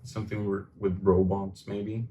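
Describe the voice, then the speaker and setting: Male co-host, very low voice, reads viewer questions, dry and brief, echoey room. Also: little pitch movement, unhurried, warm tone, slight background noise. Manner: dry, brief replies, hesitant fillers. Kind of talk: casual Q&A chat.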